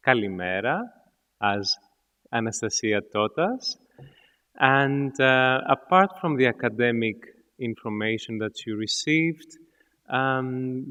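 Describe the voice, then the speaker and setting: A man moderates a formal event with deliberate, halting phrasing. His voice is smooth and very unhurried, resounding in a reverberant hall. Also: mid-range voice, a bit bright. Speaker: event host. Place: large indoor hall. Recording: echoey hall with long reverb.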